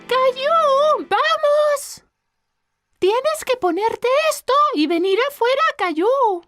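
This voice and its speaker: A woman playing an excited, bossy little child in a children's cartoon, with a squeaky voice. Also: slow, dry recording, faint music behind, full character voice. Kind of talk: dubbed children's cartoon acting.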